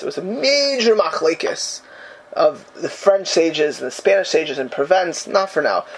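A man's voice: fairly high pitch, noisy background. A man teaching a Talmud class in a conversational way. He keeps checking in with his students and acts out the people he quotes.

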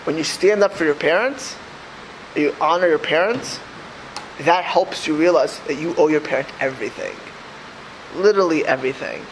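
A man in his thirties, a rabbi giving a casual Torah class, animated, often repeating words and restarting sentences. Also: fairly high pitch, normal speed, a little hiss or hum, rough recording.

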